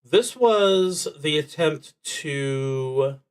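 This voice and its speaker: A man streaming a solo tabletop game, thinking aloud in a halting, deliberate way and often trailing off. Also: a little high, animated, clear, resonant tone. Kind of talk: thinking aloud while playing a solo card and dice game.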